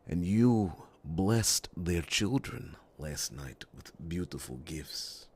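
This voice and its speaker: A man playing a loyal, earnest captain of a royal guard in a fantasy ASMR roleplay, speaking formally and reassuringly in a breathy voice with dramatic pauses. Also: low voice, lively intonation, a little mellow, full character voice. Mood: solemn devotion.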